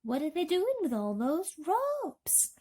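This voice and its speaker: A woman's voice: sits high, huge pitch swings, slow pace, mellow, voice pushed up, exaggerated voice. A woman voicing a blunt, know-it-all male centipede in a storybook, speaking sharply and emphatically. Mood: curious.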